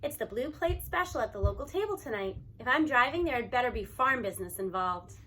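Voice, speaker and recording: A woman voicing an earnest, excitable young teen who patiently explains things in a children's storybook. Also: on the high side, a little fast, a little hiss or hum, voice pushed down. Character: eager, earnest young teen driver, Grams's grandchild.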